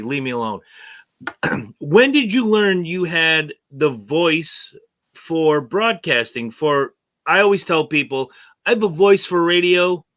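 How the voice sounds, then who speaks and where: A man's voice: on the high side, sharp tone. A man hosting a casual interview, joking and self-deprecating as he asks questions. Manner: jokey, enthusiastic, self-deprecating. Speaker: podcast host.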